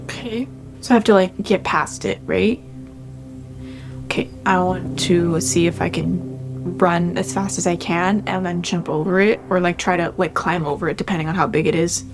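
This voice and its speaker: A woman's voice: on the low side, steady tone, normal speed, over music. A woman chatting casually as a player in a tabletop game, tentatively asking questions and stating her moves.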